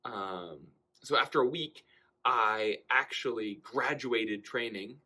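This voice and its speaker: A man's voice: medium pitch, takes it slowly. A young man telling a personal anecdote in a casual, conversational way, acting out bits of dialogue as he goes. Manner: animated delivery, acting out quoted dialogue. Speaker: storyteller.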